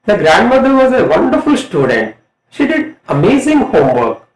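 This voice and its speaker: A middle-aged man with an Indian accent narrates a lesson to students as a college English lecturer, in an emphatic, measured way. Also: sits high, wildly swooping pitch, over a noisy crowd. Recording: echoey room sound.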